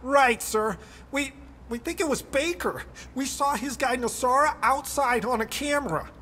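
Timid lieutenant, high pitch, stammering hesitance, deferential, game cutscene, long reverb. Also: male voice, normal speed, bright, forceful tone, a little hiss or hum. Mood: nervous. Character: meek, nervous male police lieutenant. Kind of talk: cinematic video game acting.

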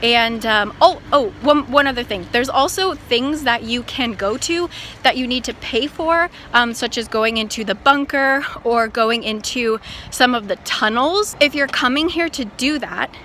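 Young girl vlogging outside on her phone, chatty, really bright voice, bit of background noise. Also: a little high, measured pace. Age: young adult. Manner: chatty, informal. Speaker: travel vlogger. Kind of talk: casual vlog chat to camera. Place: outdoors in an open park and parking lot. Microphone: phone at arm's length. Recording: outdoor noise, wind and traffic ambience.

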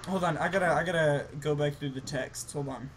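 A young man bantering casually and telling stories in an incredulous, animated way over an online game. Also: fairly high pitch, fairly quick, background noise. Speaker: gaming story host.

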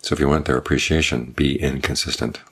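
An older man, a Buddhist monk, giving a calm, measured evening Dhamma talk with unhurried pauses. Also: very deep voice, breathy edge. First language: English.

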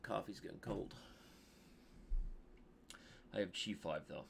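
A man in his thirties streaming from a room on a headset mic, commenting on his own game with excitable, jokey asides while working out moves aloud. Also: low-pitched, slow pace, vocal fry, slight background noise. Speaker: chess streamer. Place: indoor home streaming room. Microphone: headset microphone.

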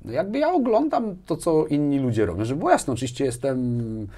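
A man's voice: a little high, very animated, fairly quick, dark tone. A man in his forties speaking as an interview guest in casual conversation, emphatic, often adding little tag questions. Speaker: interview guest, business executive. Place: small indoor studio with armchairs and bookshelves. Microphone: lapel mic.

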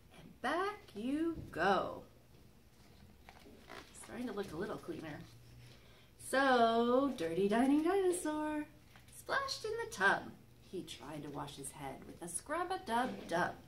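A middle-aged woman, a children's librarian, reading a picture book aloud to young children in an expressive, sing-song way. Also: high-pitched. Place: library reading area with magazine racks, indoors. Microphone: camera microphone a few feet away. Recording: faint background room noise.

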